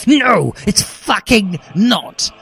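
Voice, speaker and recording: A man voicing a furious, self-righteous teenage revolutionary who rants in an overwrought, shouty way in a comic story reading. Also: high voice, expressive, extremely slow, gravelly, slightly rough, voice pushed up, exaggerated voice. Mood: outraged. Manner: shouty, overwrought ranting with swearing. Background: music.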